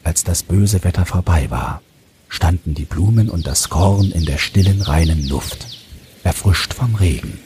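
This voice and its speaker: A man's voice: low voice, expressive, measured pace. A man narrating a scripted fairy tale as a storyteller. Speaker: storyteller and podcast host. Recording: faint background noise.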